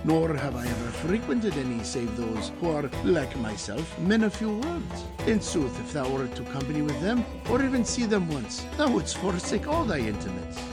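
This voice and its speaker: Casting: man playing nosy, flattering old barber, ornate archaic talker, higher-pitched male voice, heavy music bed underneath. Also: moderate pace, hardly any pauses. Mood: ingratiating, boastful. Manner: wordy, flowery, archaic speech. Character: talkative, meddlesome, ingratiating old male barber of Baghdad. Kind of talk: audio drama storytelling.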